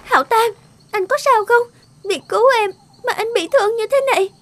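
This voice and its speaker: A woman playing a devoted, sweet young music student in an animated folk tale, with a polite, attentive manner. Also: extremely high pitch, fairly quick. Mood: distressed.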